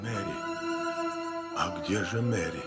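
An actor playing a gruff sailor home from sea in a dramatised radio story. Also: male voice, sits low, slow pace, over music. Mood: worried.

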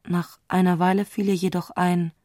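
Eine Frau spricht in einem literarischen Hörspiel die introspektive Erzählerin, die mit bedachten Pausen die Gedanken einer aufgewühlten Frau schildert. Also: female voice, fairly low pitch, little pitch movement, dark tone, no echo, studio quality, close to an everyday voice. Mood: introspective, hushed. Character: intimate, introspective female narrator of a troubled woman's thoughts. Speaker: narrator in a literary radio play. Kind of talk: literary radio drama narration.

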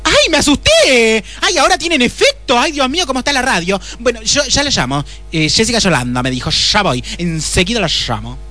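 A woman's voice: fairly high pitch, very animated, fairly quick, hardly any pauses, sharp tone, no echo, faint music behind. A woman playing a cheeky, scatterbrained secretary with an Argentine accent in a comic radio play, sassy and full of exclamations. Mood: flustered. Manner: exclamatory, sassy, muttering complaints. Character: scatterbrained, cheeky, gossipy female office secretary.

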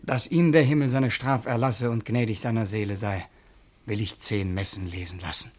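A woman playing a sly, smooth-talking servant who has come into money, in a grandly rhetorical, mocking radio-play style. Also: very deep voice, moderate pace. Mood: oily, solemn. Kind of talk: theatrical radio play acting.

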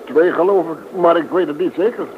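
An actor playing a polite, hesitant but stubborn train conductor in a Dutch radio play. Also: male voice, a little high, a little fast, natural voice. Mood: flustered. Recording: noisy, tinny old radio recording.

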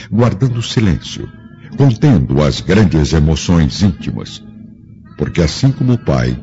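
Deep, solemn male radio drama narrator. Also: mellow. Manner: solemn, theatrical. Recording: dull lo-fi audio with music.

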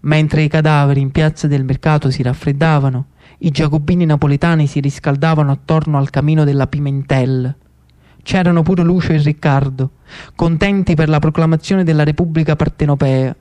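A man with a Neapolitan accent narrating theatrically as a storyteller-actor, in a dramatic, deliberate way. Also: on the high side, steady tone, dark tone.

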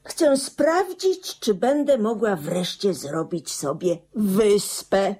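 A woman's voice: medium pitch, lively intonation, slow pace, dark tone, husky, full character voice. An actress playing a nonchalant, self-absorbed middle-aged widow and mother in a radio drama, with theatrical delivery. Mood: brisk, detached. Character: cool, self-absorbed new widow, mother of a grown son. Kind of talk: Polish radio drama acting.

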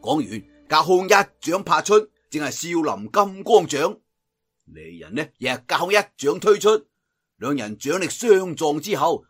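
A man's voice: fairly high pitch, breathy edge. A man with a Cantonese accent telling a martial arts story theatrically, drawing out phrases for emphasis. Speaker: audiobook storyteller.

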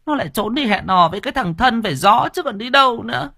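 A storyteller voicing a loud, nagging, middle-aged rich wife who screeches and wails, in a dry close recording. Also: medium pitch, expressive, quick pace, vocal fry, slightly rough, pitched above the performer's own voice, exaggerated voice. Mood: shrill nagging.

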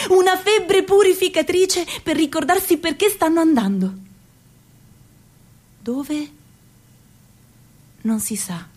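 A young woman delivers a theatrical monologue at a deliberate, unhurried pace. Her voice sits a little high, and she moves between hushed whispers and sharp outbursts. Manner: slow, dramatic, with whispered asides and sudden cries. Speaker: narrator and performer. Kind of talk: theatrical monologue in a radio documentary.

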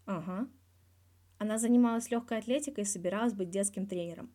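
Woman as a mellow, sardonic male hockey star. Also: medium pitch, quick pace, close to an everyday voice. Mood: wry. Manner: candid, wry, colloquial with swearing. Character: guarded male hockey star in his thirties, sardonic, crude. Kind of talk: scripted interview in a fan fiction audio reading.

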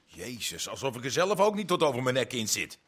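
A man's voice: mid-range voice, big pitch swings, a little fast, a bit bright. A man acting a shifty, defensive criminal accomplice in a radio drama, with an edgy, streetwise way of talking. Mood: nervous, defensive.

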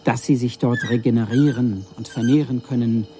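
An actor playing a solemn dignitary giving a stately, rhetorical ceremonial speech in a radio drama. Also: male voice, mid-range voice, steady tone, moderate pace, noisy background, natural voice. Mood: solemn, hopeful. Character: solemn dignitary giving a grandiose public thank-you speech.

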